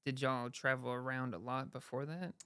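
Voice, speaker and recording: A woman hosts a relaxed podcast chat, with a smooth, clean voice at an ordinary pitch. She chatters freely, dropping plenty of likes and yeahs. The mic is close and dry. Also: normal speed, no room sound. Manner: chatty, says yeah and like a lot. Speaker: podcast host. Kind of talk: casual interview chat.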